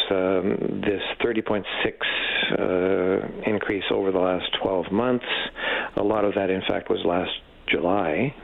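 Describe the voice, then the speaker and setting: A man with a deep, gravelly voice speaks as an expert guest on the radio. His phrasing is careful and halting, and the audio is slightly dull. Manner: measured, halting, hesitant phrasing. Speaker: economics professor, interview guest. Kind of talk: expert commentary in a radio interview.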